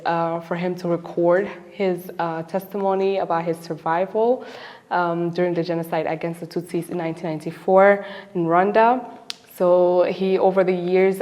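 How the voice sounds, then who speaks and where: A woman's voice: lowish voice, a little mellow. A woman hosting a podcast, talking in a casual, conversational interview style. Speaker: podcast host interviewing a relative.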